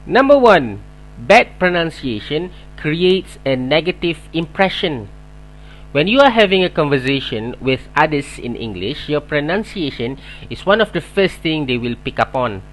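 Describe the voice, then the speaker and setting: A man's voice: a little high, fairly bright tone, rough recording. A man with a Malaysian accent, a Malay speaker and English teacher, reading a prepared lesson in an earnest way. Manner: earnest, reads prepared points in order. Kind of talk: scripted video lesson.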